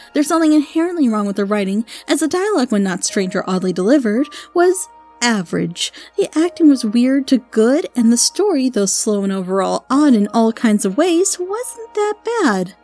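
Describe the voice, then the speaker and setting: A woman's voice: sits high, a little mellow. A woman giving critical review commentary in a blunt, opinionated way. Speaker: audio drama reviewer.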